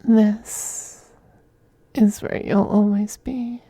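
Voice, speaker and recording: A man playing a possessive, teasing femboy vampire in an intimate ASMR roleplay, speaking softly and breathily, often in a whisper, with coaxing, condescending tenderness. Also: high-pitched, flat delivery, extremely slow, slightly rough, no echo, full character voice. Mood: tender menace.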